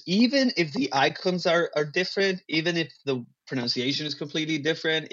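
A man whose first language is Spanish, a guest talking casually about acting and languages in an animated, emphatic way. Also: fairly high pitch, highs cut off. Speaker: guest, actor. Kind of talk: casual interview talk.

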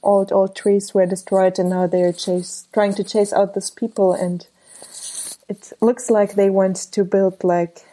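A woman's voice: mid-range voice, flat delivery, a little slow, mellow, low-quality recording. A woman in her twenties from Germany, a photographer answering interview questions in a hesitant, casual way with many fillers. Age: 20s. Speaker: guest photographer.

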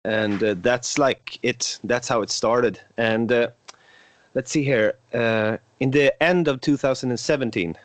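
A man's voice: medium pitch, steady tone, measured pace, no room sound. A Swedish man in his twenties, a native Swedish speaker, hosting a casual podcast chat in a hesitant way with many filler sounds. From Sweden. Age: 20s. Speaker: podcast host.

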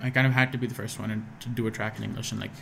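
A man's voice: lowish voice, little pitch movement, rapid-fire, gravelly, pushed and bright, slight background noise. A young man from Pakistan speaks in a casual podcast chat. His American accent comes through as he circles his thoughts with fillers and false starts. A faint room ambience sits behind him. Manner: hesitant, restarts often, many fillers. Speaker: guest musician.

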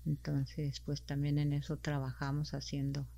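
A woman from Mexico, answering interview questions softly and hesitantly, with many fillers. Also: low voice, completely flat delivery, normal speed, creaky. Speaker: interview guest.

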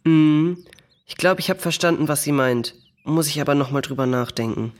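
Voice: medium pitch, steady tone, moderate pace, close to an everyday voice. A performer playing a curious, thoughtful youngster in a children's audio drama, speaking colloquially. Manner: colloquial, pondering.

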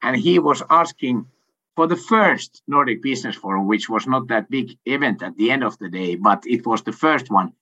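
Middle-aged man from Finland, native Swedish speaker; bright, forceful tone, hesitant delivery full of fillers, dull lo-fi call sound. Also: mid-range voice, animated, moderate pace. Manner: hesitant, many fillers, laughs often. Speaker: sales coach and company owner. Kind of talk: casual interview answers.

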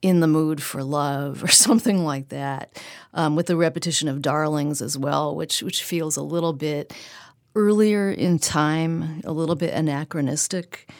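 A woman's voice: low voice, a little slow, no room sound, clean studio sound. A woman discussing literature in a reflective, articulate way, feeling out her phrases as she goes. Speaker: poetry magazine editor, podcast panelist.

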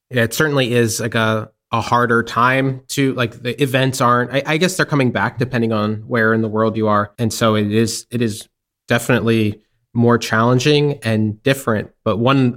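A man's voice: mid-range voice, a bit bright. A man hosting a casual interview, chatty, using many fillers and laughing easily. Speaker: podcast host.

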